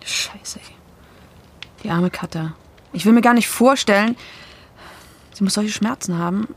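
An anxious, caring young activist in an audio drama. Her voice is strikingly husky and breathy at a middle female pitch. She speaks colloquially, with feeling. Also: unhurried. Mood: worried, distressed. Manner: colloquial, emotional. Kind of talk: naturalistic audio drama dialogue.

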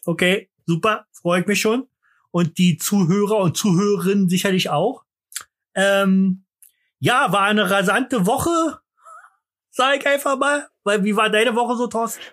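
A man's voice: high-pitched, unhurried, fairly bright tone. A man co-hosting a podcast in casual banter, rambling and joking while drinking, a bit tipsy. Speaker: podcast co-host.

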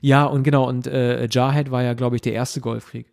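A man co-hosting a podcast, chatting casually and explaining things at length in a relaxed way. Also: mid-range voice, normal speed, vocal fry. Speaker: podcast co-host. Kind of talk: casual chat.

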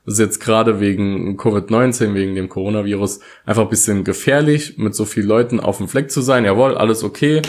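Male podcast co-host, fairly low voice, casual conversational delivery. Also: fast talker, few pauses, a little mellow. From Saarland, Germany. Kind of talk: casual political podcast talk.

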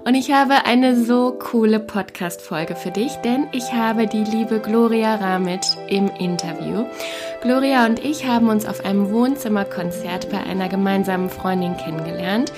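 A woman's voice: mid-range voice, moderate pace, music in the background. A woman hosting a podcast, talking enthusiastically with frequent fillers. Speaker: podcast host. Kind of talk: casual podcast interview. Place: cozy living room.

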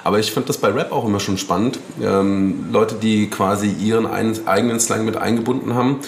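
A man talking casually as an interview guest, relaxed and rambling, with slang and many fillers. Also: on the low side, fairly quick, vocal fry, roomy sound, a little hiss or hum.

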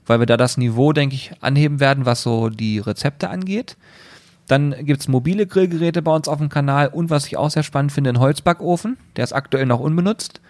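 A man co-hosting a podcast in a relaxed, rambling chat, full of enthusiasm. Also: on the high side, brisk, no room sound. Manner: relaxed, rambling, enthusiastic about grilling gear. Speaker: podcast co-host.

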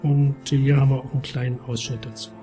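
A man's voice: medium pitch, flat delivery, fast talker, over music. A man hosting a show and giving a casual, colloquial, conversational review. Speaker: host and book reviewer.

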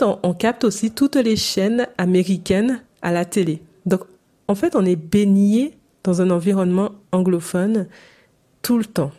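Lady podcasting solo, lowish mellow voice, chatty with lots of ums. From Saint-Martin, Caribbean. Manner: chatty, personal, many fillers. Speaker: podcast host.

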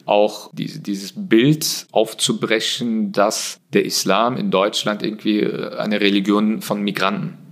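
A man from Germany answering interview questions about his civic work in an earnest, measured way, pausing often with hesitations. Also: lowish voice, level tone, a bit bright.

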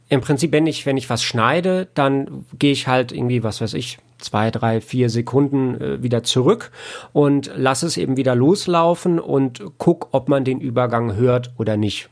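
A man with a mid-range voice talks as an audiobook editor in a casual interview. He explains things step by step, hesitating and thinking aloud as he goes. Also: mid-range voice.

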